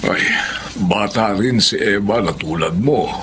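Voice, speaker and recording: A man's voice: lowish voice, a little slow, background noise, close to an everyday voice. A man acting a protective, worried old grandfather with a Filipino accent in a radio drama. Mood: worried, cautioning. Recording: radio drama with music underneath.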